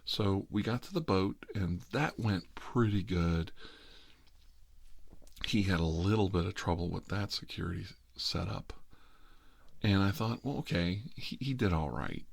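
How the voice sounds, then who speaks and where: A man's voice: low voice, vocal fry, dry recording. A middle-aged man with an American accent telling a rambling personal story in a casual, wry, self-deprecating way. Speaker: host.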